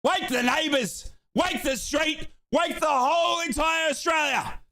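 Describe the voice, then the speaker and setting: A man hosting a live fan watchalong, calling a football match in excitable play-by-play and chanting. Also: very high-pitched, unhurried, raised voice. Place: home office.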